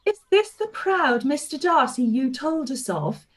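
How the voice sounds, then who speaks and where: A woman playing a lively, high-voiced aunt. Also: a little slow. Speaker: actor in a live-read radio play. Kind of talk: period radio play acting.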